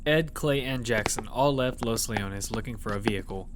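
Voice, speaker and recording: A man's voice: mid-range voice, moderate pace, noisy background, lightly acted. A man narrating an audio fiction survival story in character, as one who tells of a battle. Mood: storytelling.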